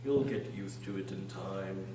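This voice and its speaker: A man's voice: deep voice, measured pace, a crowd in the background. A man playing a curt, contrary caterpillar in a radio drama, speaking in a terse, pompous manner. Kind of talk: amateur radio drama acting. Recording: echoey room, distant mic, noisy.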